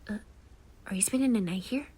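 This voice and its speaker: A woman voicing a gentle young boy who comforts his best friend in an ASMR roleplay, speaking softly and often in a whisper. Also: mid-range voice, brisk, husky, close to an everyday voice. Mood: soothing. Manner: soft, soothing, often whispered.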